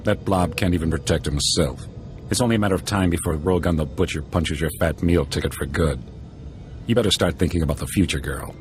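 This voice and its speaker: An actor playing a bullying, leering thug in a video game. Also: male voice, very deep voice, fairly quick, slight background noise. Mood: menacing taunts. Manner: taunting.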